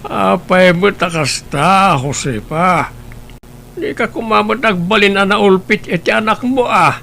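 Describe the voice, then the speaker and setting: A man playing a grave elderly grandfather who speaks slowly and reproachfully in a family drama. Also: high-pitched, gravelly, pushed and bright, a little hiss or hum, exaggerated voice. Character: grave elderly grandfather, stern but caring. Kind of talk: Ilocano radio-style family drama.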